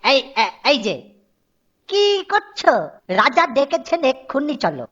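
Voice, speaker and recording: An excitable royal messenger in a cartoon, played by a woman, with a very high voice. He sounds obedient but flustered and hurried. The audio is slightly dull. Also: exaggerated voice. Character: excitable, obedient male royal errand-runner. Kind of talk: cartoon voice acting.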